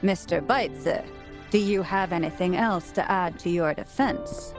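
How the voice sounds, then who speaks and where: A woman playing a formal, authoritative official presiding in an anime-style video game, measured and stern. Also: lowish voice, close to an everyday voice. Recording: music behind the voice. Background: game soundtrack music.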